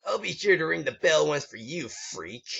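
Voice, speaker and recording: A man's voice: a little high, animated, moderate pace, vocal fry, fairly bright tone, husky, voice pushed up, full character voice. A man voicing a cocky young male pony bully in a story reading, with a mocking, taunting delivery. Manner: mocking, sneering. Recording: muffled lo-fi home recording.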